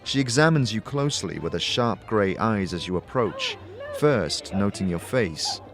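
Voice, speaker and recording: A man's voice: fairly low pitch, sing-song, unhurried, a bit bright, faint music behind. A man giving scripted second-person narration for a fantasy audio drama.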